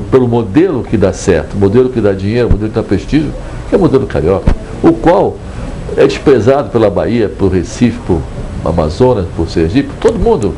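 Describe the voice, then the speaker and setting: An older Brazilian man, an author speaking reflectively in a measured, digressive way with hesitant restarts. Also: lowish voice, mellow, slight background noise, poor audio. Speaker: book author. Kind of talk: reflective interview monologue.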